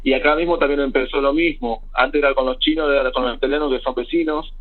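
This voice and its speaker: This is a young Argentine man with a slightly husky voice, telling his story as a guest on a remote interview. He wanders through his thoughts with many fillers and restarts. The sound is thin and tinny, like a phone line. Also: mid-range voice, fairly even intonation, quick pace. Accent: Argentine Spanish.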